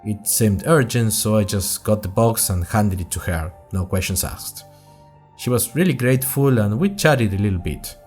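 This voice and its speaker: Man playing a chatty, animated young student podcaster. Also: fairly low pitch, moderate pace, soft background music, lightly acted. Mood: chatty storytelling. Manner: conversational, wry, addresses listeners directly. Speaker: host of a fictional audio diary. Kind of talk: scripted first-person audio drama narration.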